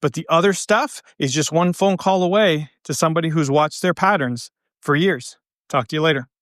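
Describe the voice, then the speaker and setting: A man with a slightly high voice speaks as a coach hosting a podcast. He explains things in a casual, conversational way, leaning on anecdotes. The sound is close and dry, with little room around it. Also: moderate pace, a bit bright, no echo. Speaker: baseball coach and podcast host. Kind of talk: casual solo podcast advice talk.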